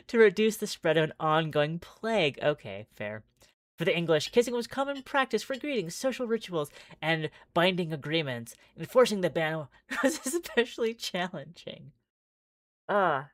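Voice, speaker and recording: A woman livestreamer reading a visual novel aloud with casual asides, expressive and giggly, often stumbling and restarting. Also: mid-range voice, dry recording, studio quality.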